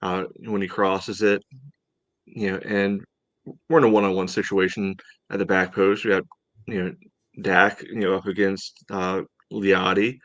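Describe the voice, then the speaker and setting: A man hosting a soccer podcast, chatting casually through match analysis in a halting way full of filler phrases. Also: deep voice, level tone, fairly bright tone, dry recording, lo-fi sound. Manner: halting, peppered with "you know".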